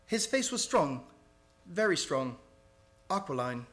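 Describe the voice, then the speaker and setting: A man acting an anxious gentleman held prisoner, speaking in earnest, formal, dramatic fashion in an old-time radio horror play. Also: a little high, big pitch swings, takes it slowly. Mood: uneasy, grave. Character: anxious English gentleman, prisoner narrating his diary.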